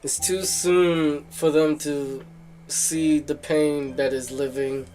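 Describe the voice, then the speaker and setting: A man's voice: a little high, flat delivery, slow pace, a little mellow, slight background noise. A man streaming a game, reacting casually and emotionally to the story with exclamations. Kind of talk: casual live gameplay reaction.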